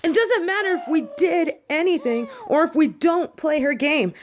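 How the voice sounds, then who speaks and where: Woman acting a defiant, hot-headed young witch student, pitched up. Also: expressive, normal speed, slight background noise. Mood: indignant. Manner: blunt, argumentative, sarcastic. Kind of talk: fantasy audio roleplay acting.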